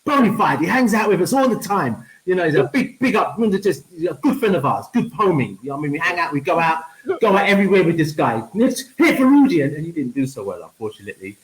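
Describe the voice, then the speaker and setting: A man in his fifties, a comedian guest, telling stories in an animated way, laughing often and repeating words. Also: fairly high pitch, quick pace, hardly any pauses, poor audio. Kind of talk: casual chat, animated storytelling.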